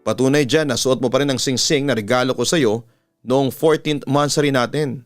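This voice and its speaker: A man's voice: medium pitch, steady tone, normal speed, close to an everyday voice. A man with a Filipino accent voicing an earnest young lover pleading with his lost love in a dramatized story. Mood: earnest, tender. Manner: pleading, emphatic. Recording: music bed under the voice.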